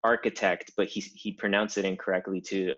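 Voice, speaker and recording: A very deep-voiced man in his thirties, born in Cuba, speaks as a guest in a relaxed interview. He tells his story earnestly, often restarting phrases as he builds his points. The sound is a little dull and lo-fi. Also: brisk. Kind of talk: casual interview.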